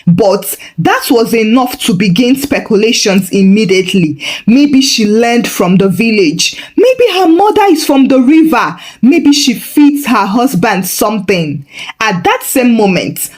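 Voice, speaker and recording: A woman narrating a humorous folktale in an expressive, playful way with dramatic emphasis. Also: a little high, measured pace. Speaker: storyteller.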